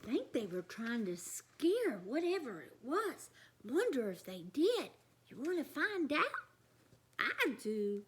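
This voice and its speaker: Children's story reader: woman, high voice, lively melodic range, expressive delivery with chatty asides. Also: takes it slowly, slight background noise. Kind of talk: animated read-aloud for young children.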